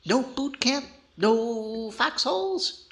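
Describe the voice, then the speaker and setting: A man's voice: very high voice, lively intonation, slow, creaky, fairly bright tone, exaggerated voice. A smart-aleck little boy dummy, old radio comedy, voice swings up and down, takes his time. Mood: glum, wry. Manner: wisecracking, exaggerated reactions. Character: cheeky wisecracking boy ventriloquist dummy, naive and excitable. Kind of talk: 1940s radio comedy acting.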